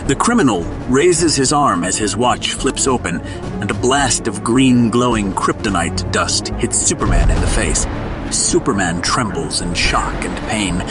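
A man narrating an audio drama in a scripted, dramatic style with measured, suspenseful phrasing. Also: lowish voice, natural voice.

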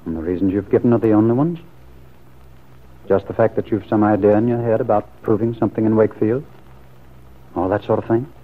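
A man's voice: lowish voice, steady tone, fairly quick, pauses often, close to an everyday voice. An actor playing a suspicious, brooding man in a classic radio soap opera, earnest and probing, with hesitant phrasing. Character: suspicious, brooding lover pressing for the truth. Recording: muffled lo-fi vintage radio recording.

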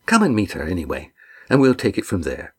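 A man's voice: deep voice, huge pitch swings, fairly quick, husky, heavily acted. A man voicing a big, hearty red-haired fisherman skipper with boisterous, folksy old-fashioned speech.

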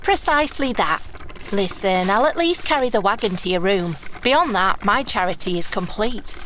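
A woman playing a sardonic, self-serving thief in a fantasy audio drama, brisk and dismissive. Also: mid-range voice, lively intonation, fairly bright tone, slight background noise, natural voice, poor audio.

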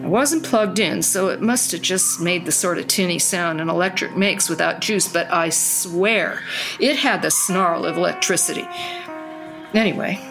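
An actress playing a wistful, wonderstruck woman who tells her memories conversationally in an audio drama, with halting repeats. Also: fairly low pitch, vocal fry, music in the background, low-quality recording. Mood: wistful wonder. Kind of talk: first-person storytelling in an audio drama.

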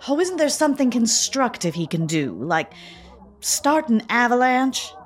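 A woman's voice: a little high, big pitch swings, measured pace. A woman playing a wry, theatrical, unhappily married American in an audio drama. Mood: wry, exasperated.

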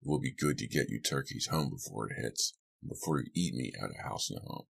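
A very deep-voiced, gruff older fellow from a storybook, acted out by a male narrator. He grumbles and teases in a folksy, sly way. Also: expressive, fairly quick, exaggerated voice. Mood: mocking, grudging.

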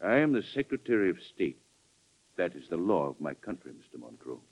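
Careful quiet state secretary, muffled old radio sound. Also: male voice, low-pitched, very animated, brisk, full character voice. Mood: guarded. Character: guarded, cautious Secretary of State. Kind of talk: 1940s radio acting.

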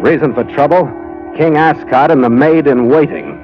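A man's voice: on the high side, moderate pace, close to an everyday voice. Sea captain narrator, male actor, vintage radio adventure, clipped then lyrical, muffled sound with prominent music. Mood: brisk, matter-of-fact. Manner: clipped log entries, lyrical storytelling.

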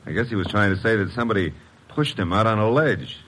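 An American actor playing a plainspoken, blunt detective's assistant in a classic radio mystery. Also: male voice, fairly low pitch, lively intonation, fast talker, close to an everyday voice. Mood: matter-of-fact. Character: brisk, plainspoken male detective's assistant, a tough legman. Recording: old noisy radio recording.

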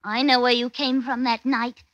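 A woman's voice: on the high side, level tone, normal speed, fairly bright tone, no echo, highs cut off, natural voice. A woman playing a sweet, naive, lovestruck young woman with a firm streak, earnest and theatrical in an old-time radio drama. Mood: jealous, firm.

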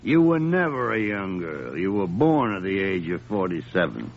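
Irascible old doctor, sarcastic, gruff, swooping intonation, vintage radio drama, muffled recording. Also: male voice, low-pitched, very animated, moderate pace, slight background noise. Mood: sardonic. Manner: blustering, gruff, exclamatory. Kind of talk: 1950s radio drama acting.

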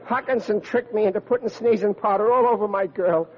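A man playing an earnest, hapless schoolboy, excitable and blustery, in an old radio comedy. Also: high-pitched, brisk, breathy edge, highs cut off, a faint crowd. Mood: miserable. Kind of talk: 1940s-50s American radio comedy acting. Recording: noisy old radio recording, studio audience.

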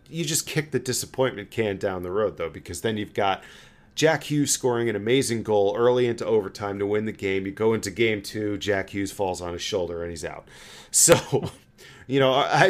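Deep-voiced man chatting about sports. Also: animated, normal speed. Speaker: sports podcast host. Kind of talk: casual hockey fan chat.

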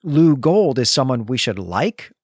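A man's voice: mid-range voice, big pitch swings, unhurried, a little mellow, slightly rough, dry recording. A man hosting a podcast, talking through a literary analysis in an emphatic, explanatory way. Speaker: podcast host. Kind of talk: literary discussion.

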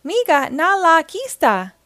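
Woman as little male alien creature, high-pitched, cheeky, wild, mischievous, storybook character. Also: sing-song, a little slow, fairly bright tone, no room sound, studio quality, heavily acted. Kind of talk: storybook read-aloud dialogue.

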